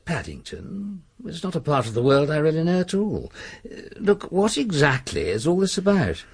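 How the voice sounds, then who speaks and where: An actor playing a suave, haughty British country gentleman in a radio drama, husky-voiced, with urbane and mocking politeness. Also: a little high, wildly swooping pitch, moderate pace, full character voice. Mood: guarded. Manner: urbane, drawing-room politeness with disdain.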